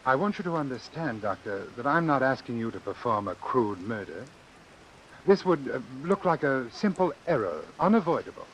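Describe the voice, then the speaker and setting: An actor playing a calculating, formal doctor who deliberately and persuasively arranges his own death in an old-time radio suspense drama. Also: mid-range voice, sing-song, fairly bright tone, low-quality recording. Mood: smooth, persuasive. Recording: hissy vintage radio recording with rain. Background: hiss and falling rain.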